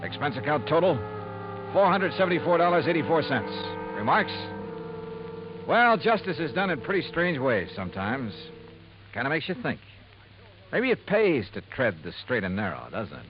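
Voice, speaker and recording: A wry hard-boiled radio detective. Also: male voice, on the high side, big pitch swings, moderate pace, faint music behind. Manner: laconic, dryly wry narration. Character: hard-boiled male insurance investigator, wry and dry. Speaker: lead character and narrator. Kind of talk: 1950s radio drama acting. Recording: dull old radio broadcast with loud hiss.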